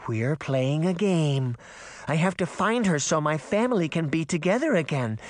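A man voicing an unhinged little girl who speaks slowly and dreamily. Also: a little high, animated, no echo, voice pushed up, natural voice. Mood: dazed. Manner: slow, dreamy and insistent.

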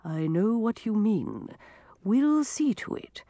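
A woman voicing a loyal, watchful male knight's ghost in a storybook, hushed and confiding. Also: mid-range voice, very animated, slow pace, dry recording, voice pushed up. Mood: conspiratorial. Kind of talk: storybook character voice.

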